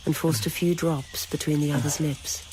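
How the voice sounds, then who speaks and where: A narrator reading a novel aloud in a measured, literary storytelling manner. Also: mid-range voice, fairly even intonation, background noise. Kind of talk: scripted audiobook-style narration of a novel.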